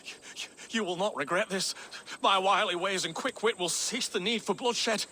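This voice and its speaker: Game role: cowardly wordy impostor noble, high-pitched, sharp-toned, nervous stutter, florid bluster. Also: male voice, moderate pace, a little hiss or hum. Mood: anxious. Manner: fussy, florid wording, blustering. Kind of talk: video game character acting.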